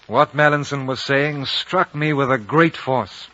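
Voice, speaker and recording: Reflective world-weary adventurer, bright voice, old radio sound. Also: male voice, mid-range voice, a little slow. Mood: wistful, resigned. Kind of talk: 1950s radio drama narration and dialogue. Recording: dull, band-limited 1950 radio broadcast recording.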